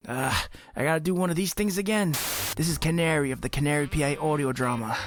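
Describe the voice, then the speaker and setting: An actor playing a weary, wry noir private eye delivering a deadpan, casual promo monologue for an audio drama. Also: male voice, on the high side, quick pace, lightly acted. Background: music bed.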